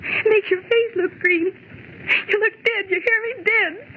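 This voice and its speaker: A woman's voice: very high voice, unhurried. An actress playing a fragile, terrified young woman office worker in 1940s radio horror style, melodramatic and hysterical. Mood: horrified, morbid. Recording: old radio recording, narrow tinny band, hiss.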